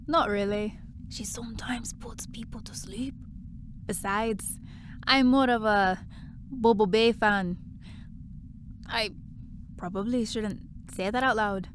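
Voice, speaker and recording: A woman's voice: fairly high pitch, steady tone, takes it slowly, long pauses, a little hiss or hum. A woman playing a breezy, blunt young part-time worker in an audio drama, chatty and offhand. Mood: blasé.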